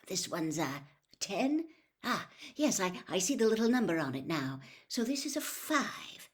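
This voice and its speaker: A woman's voice: medium pitch, sing-song, measured pace. A polite middle-aged wizard dad from a storybook, as a woman reader plays him. He is eager and cheerful but easily puzzled, with a nervous, flustered manner.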